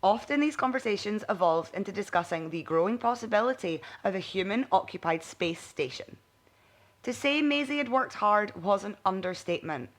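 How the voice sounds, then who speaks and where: A woman reading a bedtime story aloud in an expressive audiobook narration style. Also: medium pitch, normal speed. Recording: music behind the voice.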